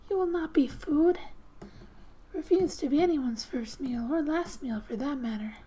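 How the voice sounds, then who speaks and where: High, hushed, soft-spoken ASMR woman. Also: a little hiss or hum, poor audio. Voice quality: soft, breathy, often near a whisper. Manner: gentle, hushed, unhurried storytelling. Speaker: ASMR gamer and host. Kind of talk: soft-spoken ASMR, reading a game's story aloud with casual asides.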